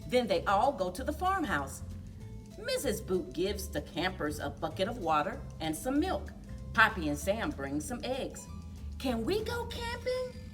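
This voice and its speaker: A woman reading a children's story aloud in a deliberate, expressive way for young listeners, as a story time host. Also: medium pitch, far from the mic, over music.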